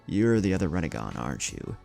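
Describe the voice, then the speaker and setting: Young male ninja hero man narrator reading, storybook drama: grave, firm, quiet, deep gravelly register. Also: big pitch swings, fast, soft background music, heavily acted.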